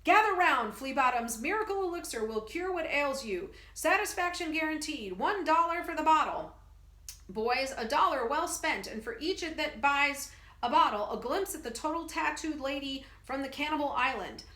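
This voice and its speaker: A woman voicing a bombastic male traveling medicine-show huckster who booms his lines in a grandiose showman style. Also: measured pace, bright, forceful tone. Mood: hawking. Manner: grandiose, booming showman delivery. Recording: echoey room.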